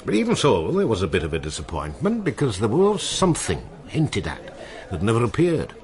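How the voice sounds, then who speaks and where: A deep-voiced seasoned seaman storyteller. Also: wildly swooping pitch, fairly quick, a little mellow. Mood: confiding. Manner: musing, colloquial storytelling with seafaring turns of phrase. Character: seasoned old seaman, wistful, salty storyteller. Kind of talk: radio drama acting.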